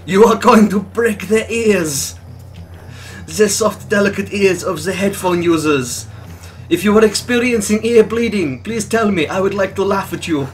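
A man's voice: sits high, a little slow, slight background noise. A man putting on a polite, melodramatic Frenchman with a French accent and a mock-offended manner during comic game chat. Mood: fussy, teasing. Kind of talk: comic gameplay chat in character. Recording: music behind the voice.

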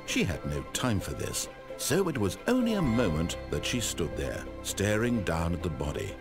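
Omniscient game narrator, British accent, lowish voice, hugely animated intonation, wry, deliberate, articulate, theatrical storytelling. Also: male voice, music in the background, full character voice. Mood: solemn.

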